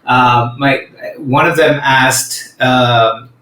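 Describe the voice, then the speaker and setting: A man hosting a podcast interview, speaking in a measured, reflective way and slowing down to think aloud. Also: medium pitch, steady tone, sharp tone. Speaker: podcast host. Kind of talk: conversational interview. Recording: roomy echo.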